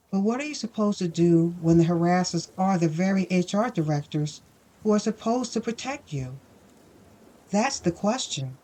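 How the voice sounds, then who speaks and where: A woman's voice: fairly low pitch, dark tone. Indignant, sardonic woman telling her story as acted narration. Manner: emphatic, rhetorical, wry. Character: indignant, sardonic woman recounting workplace bullying. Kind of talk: first-person audio fiction monologue.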